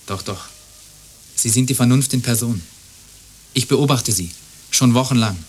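A man acting a courteous male neighbour in a radio play, with a medium-pitched voice. He is earnest and lovelorn, dodging questions and breaking off his own sentences. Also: unhurried, natural voice. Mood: wistful, earnest.

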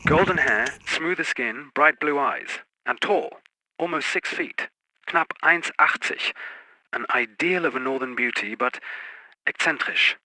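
An actor playing a crisp investigator who narrates a dossier in a radio play, in scripted documentary style. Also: male voice, fairly high pitch, takes it slowly, pushed and bright, slight background noise, exaggerated voice, low-quality recording. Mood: savouring, ironic.

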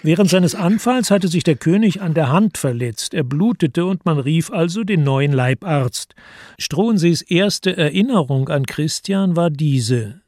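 A man reading scripted literary narration for a radio play in a measured, matter-of-fact way. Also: a little high, lively intonation, a little mellow, no room sound, studio quality.

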